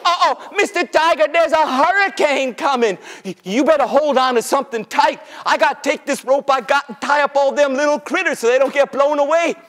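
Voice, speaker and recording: A man's voice: extremely high pitch, sing-song, slow, fairly bright tone, exaggerated voice. A man voicing an old, wise, sly rabbit in a folksy, coaxing way for an oral folktale. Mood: feigned alarm. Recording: echoey hall with long reverb.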